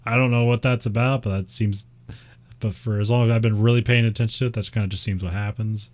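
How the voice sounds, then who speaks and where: A man's voice: on the low side, fairly even intonation, quick pace, a little mellow. A man with a Midwestern American accent, chatting casually and rambling, with frequent laughs and fillers. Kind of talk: casual chat about racing.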